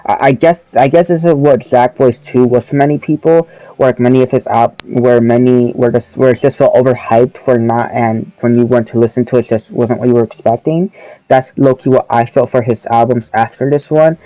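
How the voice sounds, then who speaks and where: A man's voice: medium pitch, steady tone, fairly quick, dark tone, no room sound, muffled recording. Eighteen-year-old guy rambling about albums. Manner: rambling, self-correcting, casual slang. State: voice lowered from oncoming sickness. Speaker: album review channel host. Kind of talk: casual spoken album reviews.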